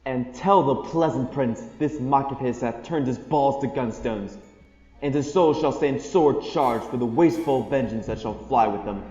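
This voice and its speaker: A man's voice: mid-range voice, unhurried, a little mellow, natural voice. A young man playing a resolute, regal English king in a Shakespearean audio drama, speaking formal, declamatory verse. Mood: menacing. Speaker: amateur student actor. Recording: muffled, echoey hall reverb under music.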